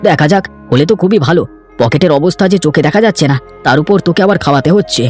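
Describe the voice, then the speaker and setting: A man voicing a good-natured, grumbling villager in a fairy-tale cartoon, chatty and quick to complain. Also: fairly high pitch, animated, a little mellow, natural voice. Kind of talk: Bengali cartoon voice acting. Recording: background music under the voice.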